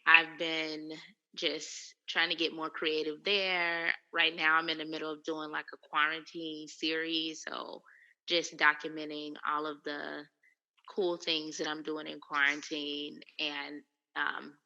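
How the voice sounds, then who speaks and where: A woman's voice: low-pitched, level tone, a little slow, bright, forceful tone, in a large hall, dull audio. A young woman co-hosting a casual podcast, chatting playfully and plugging her channel. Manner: playful, upbeat, promotes her channel between laughs. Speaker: podcast co-host and YouTuber.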